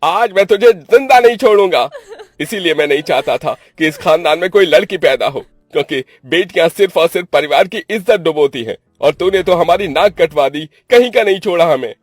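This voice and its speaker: A man voicing a menacing, domineering young man with a harsh, commanding way of talking, in an animated story. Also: fairly low pitch, brisk, soft background music, close to an everyday voice. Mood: murderous rage.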